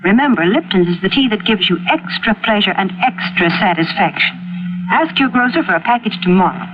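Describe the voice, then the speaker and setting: Casting: woman pitching products on 1940s radio, cheerful and homey, mid-range voice, noisy old broadcast. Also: big pitch swings, fairly quick, dull audio. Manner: cheerful, folksy, persuasive. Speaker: commercial announcer.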